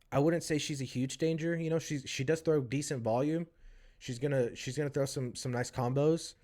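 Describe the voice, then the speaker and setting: Mid-pitched man co-hosting a podcast. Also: a little mellow. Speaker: podcast co-host. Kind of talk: casual MMA breakdown and interviewing.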